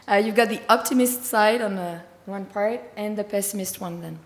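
A woman with a slightly hoarse, tiring voice giving a conference talk, fluent but with many fillers. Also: medium pitch, moderate pace. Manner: fluent, filler-heavy explaining. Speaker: conference speaker. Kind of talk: conference presentation. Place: large conference hall. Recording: echoey hall with long reverb.

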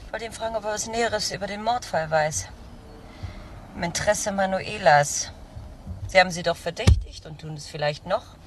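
A woman's voice: mid-range voice, normal speed, distant mic, lightly acted. Female care worker played by an actress, fervent, protective, earnest; radio crime drama with faint noise. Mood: earnest, guarded. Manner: earnest, intense. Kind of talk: German crime drama dialogue.

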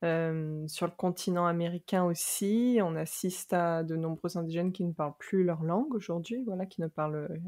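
Lowish woman host, chatty and hesitant. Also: normal speed, hardly any pauses. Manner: warm, laughs easily, hesitant restarts. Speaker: podcast host. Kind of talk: conversational interview.

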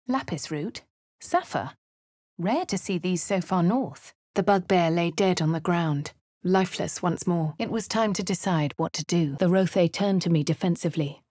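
A woman's voice: on the low side, darkish tone, dry recording, natural voice. An actress voicing a posh female adventurer in a fantasy game, narrating and remarking in a refined, dry manner. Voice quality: crisp upper-class English diction. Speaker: player character. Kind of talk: game voice lines.

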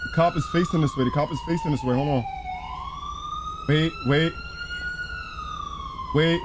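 A man streaming a role-play game, chattering in character in excitable slang and repeating his phrases. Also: a little high, unhurried. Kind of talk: in-character live gameplay chatter. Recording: sirens and vehicle noise behind voice. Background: game sirens and car sounds.

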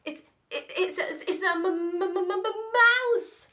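A woman's voice: very high voice, measured pace, pushed and bright, soft background music, voice pushed up, heavily acted. A woman voicing a timid, nervous daddy elephant from a storybook, stammering and flustered. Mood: panicked.

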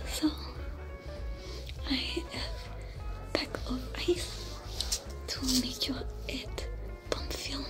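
A woman voicing a female alien smuggler with a French accent, whispering gently in an ASMR roleplay. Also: high-pitched. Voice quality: whispery, soft-spoken. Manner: slow, soothing, caring.

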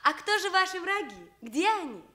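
An actress playing a polite but dismissive, sceptical newspaper employee with a measured official manner in a radio comedy play. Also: female voice, very high voice, big pitch swings, sharp tone, exaggerated voice. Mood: wary. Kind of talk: stage comedy acting for radio.

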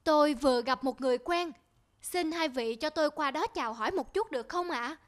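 A burly, plain-spoken villager from a cartoon folktale , played by a woman. He is honest and skeptical, with a voice pitched very high for a man. Music is clearly heard behind him. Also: a little fast, no echo, lightly acted. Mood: curious, respectful. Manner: frank, skeptical.

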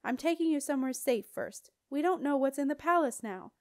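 A woman's voice: sits high, sing-song, unhurried, darkish tone, heavily acted. A woman voicing an earnest, protective, determined boy who speaks urgently with a raised voice in an audiobook. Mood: firm, protective. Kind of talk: audiobook character dialogue.

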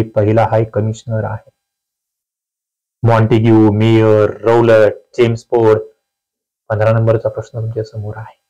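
A man's voice: mid-range voice, dark tone, poor audio. A man teaching for exams, reading out questions, asking learners and repeating key phrases as he explains. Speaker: exam-prep teacher. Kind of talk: quiz walkthrough lecture, reading questions and explaining answers.

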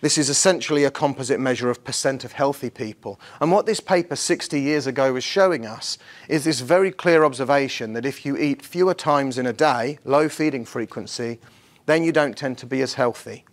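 A middle-aged man with a slightly husky voice, giving an academic conference lecture in an informal, lightly self-deprecating manner. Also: mid-range voice, a little fast. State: slightly husky from the night before. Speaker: university professor, conference speaker. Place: large lecture theatre, on stage before an audience.

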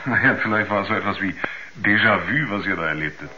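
A man acting a sober narrator and confidant in a 1970s radio drama, in measured, articulate storytelling. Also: fairly low pitch, sharp tone, a little hiss or hum. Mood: reasoning. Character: sober male narrator, astronaut's concerned confidant. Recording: narrow-band 1970s radio play recording.

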